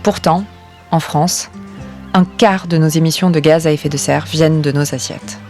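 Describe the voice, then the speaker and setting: A woman hosting a podcast, reading a scripted introduction in a measured way. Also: deep voice, steady tone, darkish tone, faint music behind. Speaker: podcast host. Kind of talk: scripted narration.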